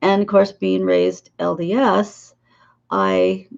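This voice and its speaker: A woman in her fifties, hoarse and losing her voice, talking haltingly about her dream visions as a psychic in a casual monologue to camera. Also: mid-range voice, level tone, a little mellow, muffled recording. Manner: halting, with many filler pauses.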